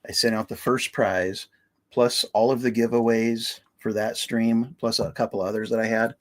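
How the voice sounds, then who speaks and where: A man's voice: mid-range voice, steady tone, moderate pace. A man co-hosting a casual livestream, explaining the products plainly and teasing his co-host with dry jokes.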